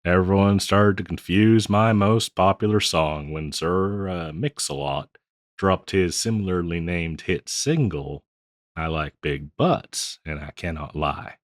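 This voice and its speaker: Man acting as old frontier barkeep, low-pitched, dry close sound, folksy, rambling, gently teasing, audio roleplay. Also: measured pace, no echo. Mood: wry, reminiscing. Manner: folksy, rambling, chuckling drawl with hesitations. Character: folksy, teasing old Western bartender, ex-drifter singer. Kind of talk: intimate scripted roleplay audio, addressed to listener.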